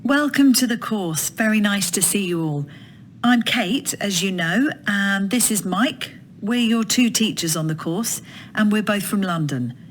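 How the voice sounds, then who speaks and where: A woman's voice: medium pitch, slight background noise. A woman playing a teacher in a scripted audio dialogue, welcoming students to a course.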